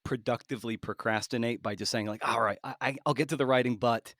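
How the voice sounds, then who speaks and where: A man's voice: mid-range voice, fairly even intonation, quick pace, fairly bright tone, no room sound, clean studio sound. A man hosting a podcast interview in a casual, conversational way, feeling his way toward each phrase as he rambles.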